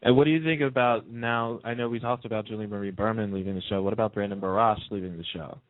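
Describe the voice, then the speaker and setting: A man's voice: on the low side, steady tone, fast, no echo. Man on a thin phone line. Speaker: radio personality as interview guest. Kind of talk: casual chat about television.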